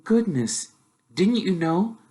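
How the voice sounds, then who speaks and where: A man voicing a bossy, know-it-all young girl witch who chatters in a prim, brisk, self-assured way. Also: sits high, big pitch swings, mellow, pitched above the performer's own voice, exaggerated voice. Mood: bossy, chatty.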